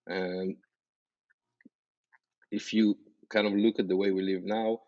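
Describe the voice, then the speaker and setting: A man with an Israeli accent, a startup founder talking as a guest on a podcast, earnest and halting, with many fillers and restarts. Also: lowish voice, level tone, dark tone, dry recording, dull audio. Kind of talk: podcast interview.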